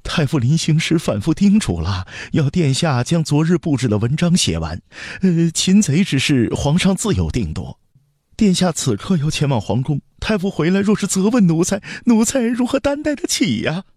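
Shrill, fretful palace eunuch. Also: male voice, big pitch swings, normal speed, darkish tone, husky, dry recording, studio quality. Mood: fretful, pleading. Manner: deferential, pleading, fretful. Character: anxious, servile palace eunuch steward, fearful and pleading.

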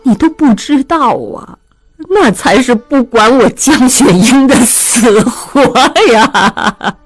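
A woman's voice: on the high side, takes it slowly, dry recording, voice pushed up. A woman voicing a spiteful, self-pitying old widow who wails her grievances. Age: older. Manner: whining, wailing complaint.

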